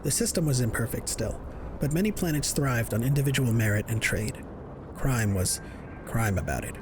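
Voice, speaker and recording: A man's voice: medium pitch, animated, moderate pace, background noise. A man reading scripted narration for a science fiction audio drama. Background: music.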